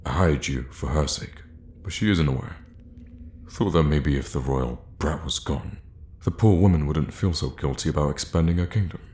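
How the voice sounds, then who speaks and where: A man playing a scheming, condescending royal guard loyal to his queen, in an intimate, theatrical fantasy ASMR roleplay with measured, pointed pauses. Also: extremely low pitch, darkish tone, reverberant. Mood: scornful, condescending.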